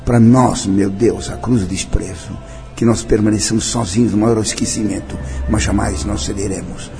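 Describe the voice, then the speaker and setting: An older man speaks, his voice low-pitched and mellow. He talks in an interview with a solemn, declamatory manner, slipping now and then into other languages. Faint music plays behind him. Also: steady tone, moderate pace. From Brazil. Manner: solemn, declamatory, mixing in French and Italian phrases. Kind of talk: interview, personal reminiscence.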